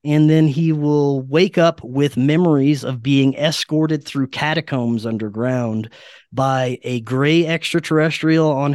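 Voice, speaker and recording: A man's voice: medium pitch, clean tone, dry recording, clean studio sound. A man speaking as a podcast guest, telling stories in an informal, earnest way with casual swearing. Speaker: podcast guest, paranormal researcher and interviewer. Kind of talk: casual conversational storytelling.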